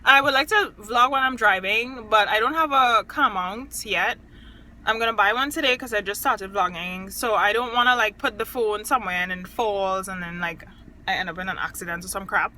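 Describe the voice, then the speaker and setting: Young woman vlogger from Trinidad and Tobago, bright and forward voice, chatty and exclaiming, phone mic, faint background hum. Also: medium pitch, normal speed, low-quality recording. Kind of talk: casual vlog chat. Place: at home, indoors and in the yard.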